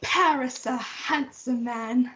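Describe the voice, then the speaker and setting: A doting old nursemaid acted by a young-sounding female chatters in a high voice. It is a student play reading with muffled, lo-fi sound. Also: takes it slowly, pushed and bright, a little hiss or hum, heavily acted. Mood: fond, chatty. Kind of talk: student reader's theatre. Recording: dull, muffled lo-fi audio.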